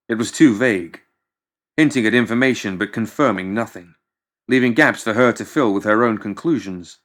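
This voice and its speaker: Man, lowish pitch, audiobook narrator, scripted fiction, measured dramatic storytelling. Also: fairly bright tone.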